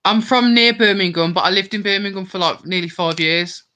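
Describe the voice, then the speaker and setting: A young man with a West Midlands twang rambles through a livestream while drunk. He answers viewers' comments aloud in loose, chatty bursts. His voice is bright and forceful. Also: high voice, steady tone, fairly quick, clean tone. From Worcestershire, England. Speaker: livestream host. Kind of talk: casual livestream chat with viewers.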